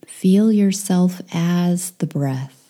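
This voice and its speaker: A woman leading a guided meditation, speaking softly and soothingly, with some phrases whispered. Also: fairly low pitch, very slow.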